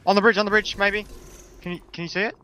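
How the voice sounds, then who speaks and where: Male gamer, mid-pitched and forceful, quick clipped callouts, faint game noise behind. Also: fairly even intonation. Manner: repeats short callouts. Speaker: esports player. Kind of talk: casual in-game team chat.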